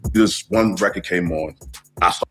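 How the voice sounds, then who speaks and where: A man's voice: low voice, moderate pace. A man in a documentary interview, reflectively telling how he got into music. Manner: reflective, casual storytelling. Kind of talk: recalled life story.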